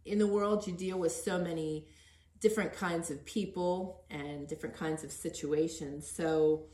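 Low, even-voiced woman in an echoey room. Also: a little slow. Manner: earnest, encouraging. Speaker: podcast host interviewing. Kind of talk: conversational interview.

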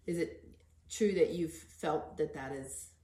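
A woman hosting an informal podcast interview, gently prompting and encouraging. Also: low voice, slow. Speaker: podcast host. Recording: roomy echo.

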